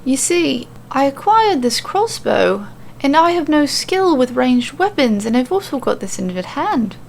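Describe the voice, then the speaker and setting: A woman's voice: sits high, very animated, measured pace, vocal fry, slight background noise, voice pushed up, heavily acted. A woman voicing a sheepish, wounded woman wanderer in an audio story, rambling and justifying herself.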